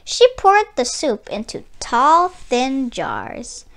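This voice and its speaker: High-pitched woman reading a storybook aloud. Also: wildly swooping pitch, slow, creaky, a bit bright. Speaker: children's book read-aloud narrator. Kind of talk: expressive storybook read-aloud for children.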